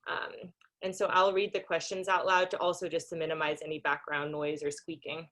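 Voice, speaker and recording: A woman's voice: deep voice, flat delivery, brisk, a bit bright. A woman from Denmark who grew up in Singapore and the US, hosting a conference welcome over a video call in a casual, informal way with many ums and small laughs. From Denmark, raised in Singapore and the US. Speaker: conference organizer and host. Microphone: computer microphone on a video call.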